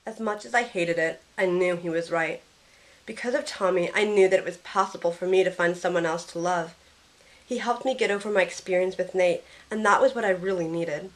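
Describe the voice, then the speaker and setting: A woman's voice: on the low side, brisk, lightly acted, rough recording. A woman playing a grieving, guilt-ridden young woman who tells her story in an earnest, emotional first-person audio drama. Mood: sorrowful, reflective.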